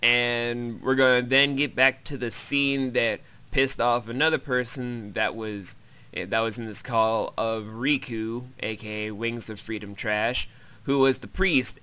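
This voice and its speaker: Bright, clear-voiced man recapping a game. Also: medium pitch. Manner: wry, sweary, rambling. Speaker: let's play host. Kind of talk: casual visual novel commentary and recap.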